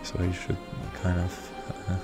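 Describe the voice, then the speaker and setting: A man's voice: low-pitched, monotone, a little slow. A man whose first language is Persian, talking through a strategy game in hesitant commentary full of ums and uhs over background music. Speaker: strategy game video reviewer. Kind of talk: unscripted gameplay commentary.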